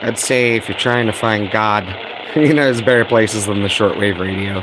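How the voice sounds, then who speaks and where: A man's voice: on the low side, steady tone, moderate pace. A man chatting informally to viewers as host of a live radio-hobby stream. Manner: informal, addressing viewers as guys. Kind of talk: casual livestream chat. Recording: loud radio static behind the voice.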